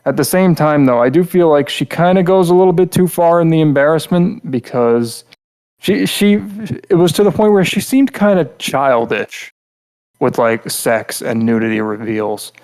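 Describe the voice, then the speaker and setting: A man co-hosting a podcast, talking through a casual critique in a rambling, halting way and often repeating words mid-thought. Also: on the high side, lively intonation, darkish tone, smooth, rough recording. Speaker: podcast host reviewing game characters.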